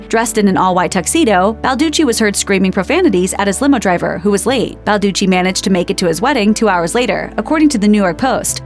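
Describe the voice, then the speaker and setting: A woman delivers scripted, documentary-style narration at a middling pitch, recorded on a close studio mic. Soft music plays underneath her voice. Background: background music.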